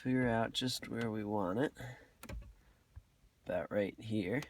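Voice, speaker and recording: A man's voice: lowish voice, slow. A man giving a casual, plainspoken step-by-step tutorial, explaining each step as he works. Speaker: DIY tutorial host. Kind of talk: casual step-by-step how-to narration. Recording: clearly noisy hobby video with clicks.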